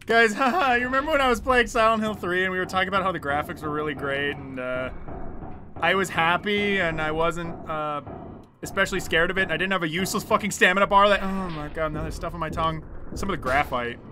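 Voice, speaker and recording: A young man livestreaming a horror game, chatting to viewers with jokey, excitable, crude swearing. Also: sits high, fast, background noise. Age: young adult. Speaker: game streamer. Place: small dim room indoors at home. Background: horror game music.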